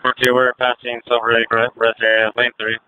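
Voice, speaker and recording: A man's voice: medium pitch, completely flat delivery, a little fast, narrow, tinny audio. A man working as a state patrol officer, giving terse, clipped reports over a police radio. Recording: noisy police scanner radio audio.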